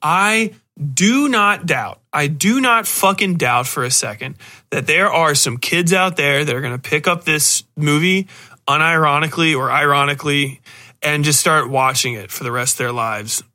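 A man with a higher-than-average, forceful and bright voice talks like a podcast host. His delivery is casual and rambling, animated and freely peppered with profanity. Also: moderate pace. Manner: animated, swears freely, excitable.

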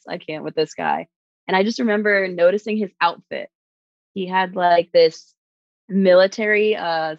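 Lower-voiced woman from New York telling her story. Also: creaky, no echo, dull audio. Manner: animated, acts out her own past thoughts. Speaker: stage performer. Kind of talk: casual personal storytelling.

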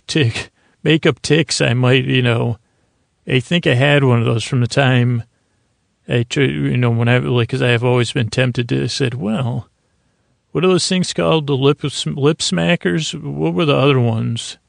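A man hosting a bedtime podcast in a creaky voice, meandering and halting as he trails off mid-thought. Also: mid-range voice, dry recording, clean studio sound. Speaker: podcast host. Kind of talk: rambling bedtime monologue to help listeners sleep.

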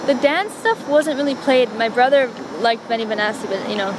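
A woman's voice: fairly high pitch, fairly quick. A woman from Montreal whose first language is Italian, chatting in a rambling, filler-heavy way as an interview guest outdoors. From Montreal, Canada. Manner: rambling, chatty, many fillers, self-deprecating. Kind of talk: casual chat. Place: outdoors on a city street. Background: traffic and bus noise.

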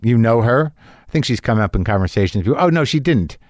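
A man's voice: medium pitch, lively intonation, fairly quick, no echo. A middle-aged man hosting a casual interview in a home garage studio. He rambles, trails off and restarts, and swears freely. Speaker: podcast host. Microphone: studio mic, close.

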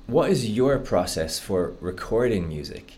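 A man's voice: fairly low pitch, lively intonation, unhurried, darkish tone. A man hosting a casual podcast interview, asking curious, easygoing questions with many fillers. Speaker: podcast host.

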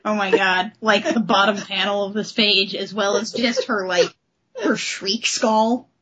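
A woman's voice: mid-range voice, fairly even intonation, unhurried, fairly bright tone, dull audio. A woman hosting a casual comic book discussion, speaking in an enthusiastic, informal way. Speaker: podcast host.

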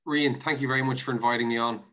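Even-toned, bright-voiced Irish man. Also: mid-range voice, quick pace. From Ireland. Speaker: podcast guest, investment and finance director. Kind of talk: conversational interview answers.

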